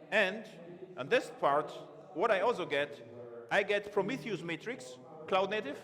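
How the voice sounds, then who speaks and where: A man giving a live-coding conference talk from a large stage, halting and correcting himself as he types. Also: high-pitched, fairly bright tone. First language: not Romanian. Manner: halting, self-correcting, thinks aloud while typing. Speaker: conference speaker. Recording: long reverb of a big hall.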